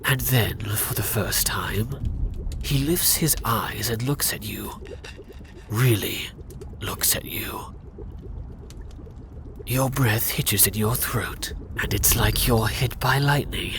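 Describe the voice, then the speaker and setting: Slow, hushed man narrating ASMR. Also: on the low side, background noise. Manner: hushed, often whispering, dramatic pauses. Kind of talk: ASMR scripted narration of fantasy roleplay.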